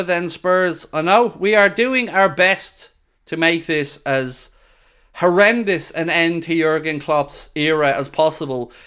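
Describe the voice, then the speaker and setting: Man, fairly high voice, exasperated, sarcastic, live football reaction, streaming mic. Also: fairly bright tone, clear, resonant tone. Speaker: football watchalong host. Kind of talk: live match commentary and reaction.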